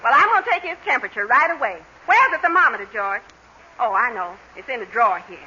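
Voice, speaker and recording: An actress playing a bossy, sharp-tongued wife in a 1940s radio comedy, in an old broadcast recording. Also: female voice, on the high side, sing-song, fast talker. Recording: dull old radio broadcast, faint hiss.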